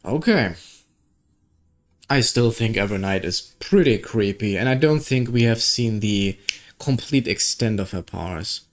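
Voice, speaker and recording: Lively, casual game streamer. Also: medium pitch, unhurried. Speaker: gaming streamer. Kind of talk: casual game playthrough commentary.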